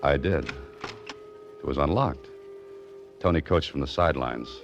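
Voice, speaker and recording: A deep-voiced American tough guy carries an old radio mystery. He is clipped, dry and wry, in both dialogue and first-person narration. The recording is dull and vintage, with music around it. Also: unhurried. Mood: tense, wry narration. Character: wry, hard-boiled adventurer hero narrating his own mystery.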